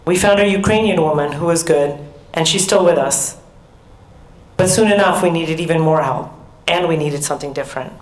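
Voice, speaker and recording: A middle-aged American woman giving an earnest, measured personal talk as a conference speaker, with small restarts. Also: sits low. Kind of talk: personal talk at a research summit. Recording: echoey hall.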